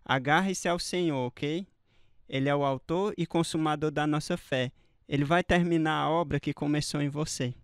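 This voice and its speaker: An actor playing a solemn, assured male evangelist who preaches in a measured way, with a Brazilian accent, in a radio drama. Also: on the high side, clean tone, close to an everyday voice.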